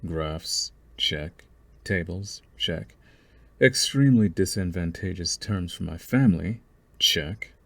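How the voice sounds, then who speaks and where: Very deep-voiced, smug young mafia boss, acted by a man. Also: takes it slowly, creaky, a bit bright, exaggerated voice. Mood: mocking amusement. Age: young adult. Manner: taunting, condescending, theatrical. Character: young male mafia boss, possessive, smug, menacing schemer. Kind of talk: scripted ASMR audio roleplay.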